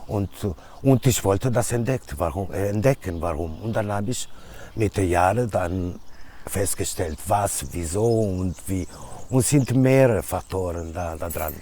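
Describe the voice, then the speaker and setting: Low-voiced Italian man, halting German. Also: mellow, slight background noise. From Italy. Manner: halting, searching for words in German. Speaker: DJ and music producer. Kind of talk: casual interview answers.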